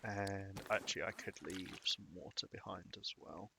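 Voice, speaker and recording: A man's voice: sits low, fairly even intonation, normal speed, creaky, noisy background. A young British man chatting casually while streaming a game, rambling and chuckling between remarks. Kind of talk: live gaming commentary.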